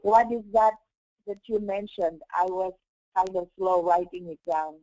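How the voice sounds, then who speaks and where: A woman on a muffled conference call, asking questions in a halting, self-correcting way. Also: medium pitch, mellow, no room sound.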